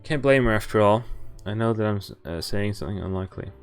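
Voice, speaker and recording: A man's voice: fairly low pitch, fast talker, soft background music. A man streaming a visual novel, reading its narration aloud and breaking off for wry comments, swearing and laughing.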